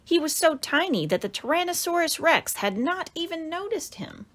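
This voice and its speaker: Animated woman reading a children's storybook. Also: very high-pitched, normal speed. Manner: animated, dramatic exclamations for young listeners. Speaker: author reading own picture book. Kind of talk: expressive children's storybook narration.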